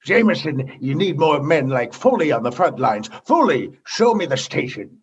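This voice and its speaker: An actor playing a brusque, demanding, paranoid commodore in a comedy radio play, imperious and scolding. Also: male voice, fairly high pitch, sing-song, normal speed, highs cut off, slight background noise. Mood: commanding.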